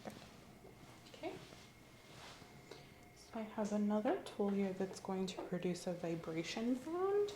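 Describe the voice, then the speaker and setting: Female ASMR examiner, calm and clinical, soft delivery; roomy take with audible background noise. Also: medium pitch, measured pace, pauses often. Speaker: examiner in a medical role-play. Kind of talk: ASMR role-play.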